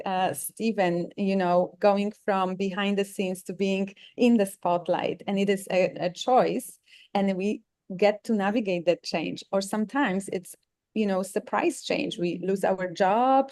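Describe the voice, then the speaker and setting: A woman's voice: medium pitch, a little mellow, no echo, clean studio sound. A woman from Poland with a Polish accent, speaking English as her second language, telling her story earnestly as an interview guest. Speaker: guest author. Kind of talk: interview conversation.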